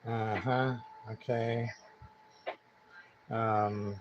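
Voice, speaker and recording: A man's voice: fairly low pitch, little pitch movement, drawn-out words, muffled recording. A man hosting a casual livestream demonstration, thinking aloud in a hesitant, rambling, muttering way. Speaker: livestream host, CB radio repairer. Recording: clear background noise.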